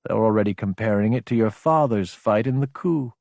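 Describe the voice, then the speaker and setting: A man voicing a female military superior in an audiobook, gentle, understanding and firmly encouraging. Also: on the low side, brisk, vocal fry, no echo, clean studio sound. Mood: soft, reassuring. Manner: gentle, reassuring. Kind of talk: audiobook character acting.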